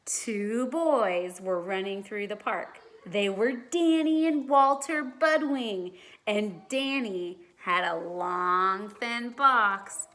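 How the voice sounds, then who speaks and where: A woman's voice: medium pitch, takes it slowly, a bit bright. A woman reading a children's storybook aloud like a teacher, expressively and with dramatic emphasis.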